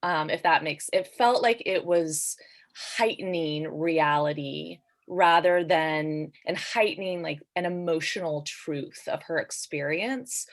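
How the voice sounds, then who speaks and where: A woman with a low voice discusses her work as a panel guest. Her delivery is casual and reflective, hedged as she thinks aloud. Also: measured pace.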